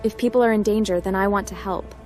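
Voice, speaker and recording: A woman plays an inquisitive hacker heroine in a game role-play, direct and to the point. She talks fast, with music clearly behind her. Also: mid-range voice, fairly even intonation, darkish tone, lightly acted. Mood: curious, businesslike.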